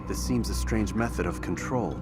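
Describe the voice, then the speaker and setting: An actor playing a stern, earnest assassin who questions things in a plain, restrained way in a video game drama. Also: male voice, lowish voice, moderate pace, natural voice. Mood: doubtful, probing. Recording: loud music bed behind dialogue.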